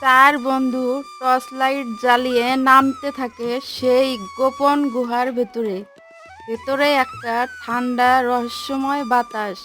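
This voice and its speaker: A woman narrates a scripted fairy tale for a cartoon. Her voice is high, and she takes her time in a measured storytelling delivery, with music playing behind her. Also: fairly bright tone.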